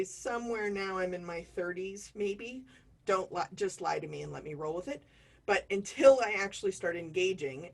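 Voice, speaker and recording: A woman in her forties from the United States, giving an online diversity training in a chatty, self-deprecating way with jokey asides. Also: lowish voice. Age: 40s.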